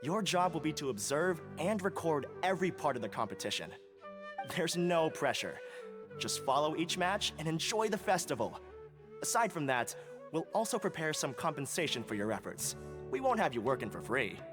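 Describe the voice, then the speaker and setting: A man playing a courteous, reassuring academy official in a video game, polite and measured. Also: on the high side, very animated. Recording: clear music under the voice.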